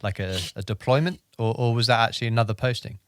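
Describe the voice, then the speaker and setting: A man's voice: medium pitch, fast talker, dry recording, studio quality. A male podcast host who asks his questions hesitantly and rephrases them as he goes. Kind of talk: interview questions.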